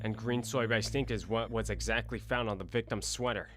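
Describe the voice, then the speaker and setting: A man's voice: on the low side, steady tone, fairly quick, natural voice. A man acting an earnest, combative male defense lawyer in an audio drama, pressing and accusatory. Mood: accusing. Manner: forceful, accusatory.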